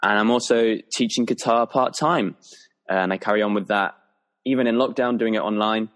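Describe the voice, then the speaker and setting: A man's voice: fairly low pitch, moderate pace, fairly bright tone. A young man from England hosting a podcast, asking easygoing questions in a casual interview. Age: young adult. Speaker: podcast host.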